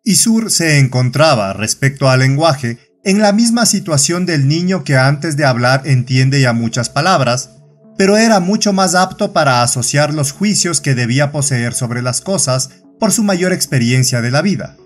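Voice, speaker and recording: Man narrating a story over soft music. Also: on the high side, animated, normal speed. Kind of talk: scripted audiobook narration.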